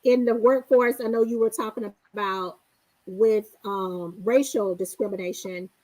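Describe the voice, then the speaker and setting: A woman co-hosting a casual conversation, making measured, earnest points with a few hesitant fillers. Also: mid-range voice, steady tone, darkish tone, no echo, rough recording. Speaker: co-host. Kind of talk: casual chat.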